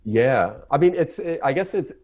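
A man from Israel with an Israeli accent, English not his native tongue, talking casually as a guest and often pausing to search for words. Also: on the high side, lively intonation, a little mellow, muffled recording. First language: Hebrew. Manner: halting, searching for words, many fillers and restarts. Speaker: ecologist guest. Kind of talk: casual interview talk.

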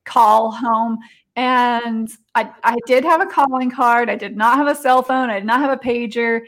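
A woman's voice: fairly high pitch, normal speed. A middle-aged woman chatting casually as an interview guest, telling personal stories. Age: 40s or 50s.

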